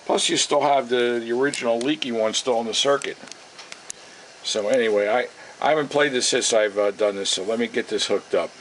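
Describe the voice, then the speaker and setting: A man giving a casual, unhurried repair demonstration, thinking aloud in a folksy way, recorded on a camera microphone in his shop. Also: medium pitch. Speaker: radio repair vlogger. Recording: steady noisy camera sound in workshop.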